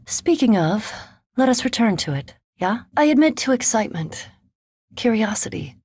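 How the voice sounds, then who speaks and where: An actress playing a cool, scholarly, curious vampire animancer in a fantasy video game, speaking formally and precisely with a dry, reserved manner. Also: female voice, a little high, big pitch swings, moderate pace, darkish tone, breathy edge, no echo, full character voice. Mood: dry, polite, then earnest, curious.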